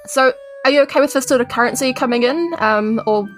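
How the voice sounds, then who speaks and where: Kiwi woman, highish voice, casual in-character rpg chat, soft music in back. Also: a little fast. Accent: New Zealand. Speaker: player in a tabletop role-playing podcast.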